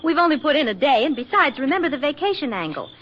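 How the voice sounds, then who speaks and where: An actress playing a flippant, independent career-woman photographer with a soft romantic streak, in 1940s radio drama style. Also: high-pitched, big pitch swings, fast talker. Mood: breezy. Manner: breezy, flippant banter. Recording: muffled, noisy 1940s radio recording.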